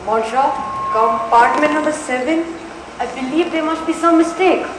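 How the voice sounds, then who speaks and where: Young woman playing a polite high-voiced train conductor. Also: moderate pace, natural voice. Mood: courteous. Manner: courteous, formal. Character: polite, attentive train conductor greeting passengers. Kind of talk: amateur stage play acting. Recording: echoey noisy hall.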